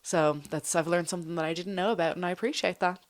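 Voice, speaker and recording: A woman's voice: fairly low pitch, steady tone, fast talker. A woman talking as a podcast co-host in a casual, chatty, informal conversational style. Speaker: podcast co-host and ASMR channel presenter.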